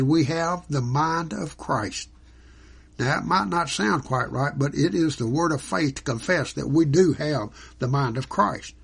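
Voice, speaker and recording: A man's voice: on the high side, creaky, husky. A man preaching in an earnest, devout way, teaching as the host of a religious program. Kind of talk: sermon teaching with scripture quotes and closing prayer.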